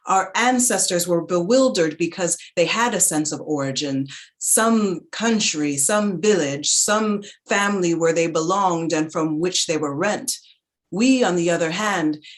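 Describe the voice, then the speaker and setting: A woman narrating a staged literary reading in a measured, lyrical, dramatic way. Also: lowish voice.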